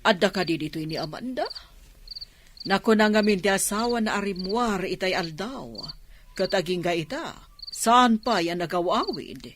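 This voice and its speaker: A woman's voice: medium pitch, expressive, normal speed, background noise, lightly acted. A woman acting a worried mother of a grown daughter in an Ilocano radio drama. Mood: anxious, pleading.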